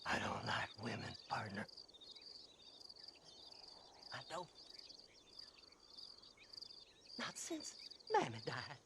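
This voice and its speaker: An actor playing a crazed, grimy grave-robbing treasure hunter in a video game, with a rural American accent and muttering, stammering, folksy delivery. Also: male voice, mid-range voice, wildly swooping pitch, takes it slowly, vocal fry, very noisy, heavily acted. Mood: brooding, muttering. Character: crazed, grimy male treasure-hunting grave robber, manic and unhinged. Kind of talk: video game cutscene acting.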